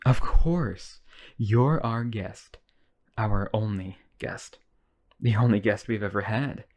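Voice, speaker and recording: A man's voice: on the low side, slow, a little mellow, dull audio. A man playing a lonely, obsessive, wealthy vampire brother in a boyfriend ASMR roleplay, coaxing and polite. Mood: eager, coaxing.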